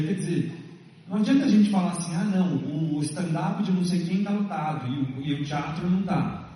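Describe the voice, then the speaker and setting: A man's voice: fairly high pitch, mellow. A man, a playwright speaking at a press conference, thoughtful, reasoning aloud with small restarts. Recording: echoey hall, mic far off.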